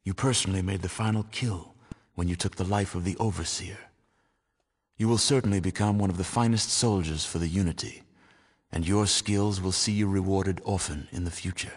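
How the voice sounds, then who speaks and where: An actor playing a grave, solemn narrator of a post-apocalyptic wasteland in a video game, telling the story in a measured, scripted way. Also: male voice, low-pitched. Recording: long reverberant hall echo.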